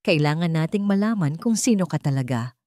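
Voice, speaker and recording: A woman's voice: sits low, expressive, a little fast, mellow, no echo, studio quality. A woman voicing a serious, wealthy young male boss in a love story, terse and earnest, with a Filipino accent. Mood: serious, resolved.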